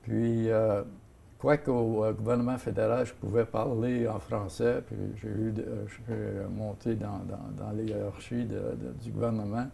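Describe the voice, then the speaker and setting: Older Franco-Ontarian man, gravelly and hesitant. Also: fairly low pitch, level tone, normal speed, warm tone, a little hiss or hum. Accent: Franco-Ontarian French. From Ottawa, Canada. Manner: hesitant, many fillers and restarts. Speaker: guest, seniors' association president. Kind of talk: casual interview talk.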